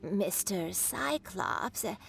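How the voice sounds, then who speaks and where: Slow-spoken, lilting old granny. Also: female voice, mid-range voice, expressive, vocal fry, fairly bright tone, soft background music, exaggerated voice. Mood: hesitant, polite. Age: older. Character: kindly old granny who makes kites. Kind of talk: video game voice acting.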